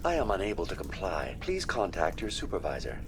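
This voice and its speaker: A prim, officious corporate computer terminal, with a lowish voice that rises and falls a lot. It talks like a bureaucratic helpdesk. Loud game music plays behind it. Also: male voice, wildly swooping pitch, a little fast, exaggerated voice.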